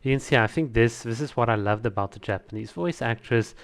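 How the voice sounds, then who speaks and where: A man's voice: mid-range voice, brisk, very noisy. Emphatic man giving casual reaction commentary. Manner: emphatic, repeats words for stress. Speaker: video reviewer.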